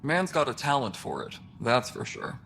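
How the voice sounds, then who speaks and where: An actor playing a tough station boss in a video game, with a dry, casual, knowing manner. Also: male voice, mid-range voice, very animated, moderate pace, gravelly, a bit bright, a little hiss or hum. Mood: wry, grim amusement.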